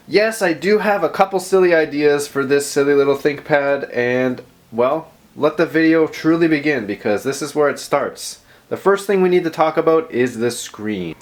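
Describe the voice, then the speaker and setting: Chatty man narrating a casual vlog. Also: medium pitch. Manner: chatty, self-deprecating, rambling asides. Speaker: host of a tech video.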